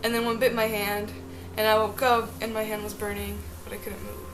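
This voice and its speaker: A woman's voice: mid-range voice, little pitch movement, brisk, rough recording. A woman casually recounting a dream of her own. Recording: music behind the voice. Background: music.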